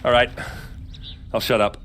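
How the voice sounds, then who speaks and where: An actor playing a blunt, hot-headed warrior captain in a video game, gruff and bantering. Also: male voice, normal speed, over music, close to an everyday voice. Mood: uneasy banter. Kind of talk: dramatic video game voice acting.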